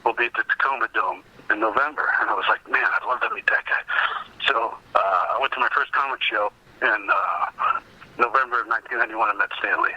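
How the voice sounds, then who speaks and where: Male podcast guest, fifties, piercingly bright delivery, chatty animated storyteller, slightly muffled lo-fi call audio. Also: fairly low pitch, brisk, husky. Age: 50s. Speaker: podcast guest, comic collector. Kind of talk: casual anecdotal interview chat.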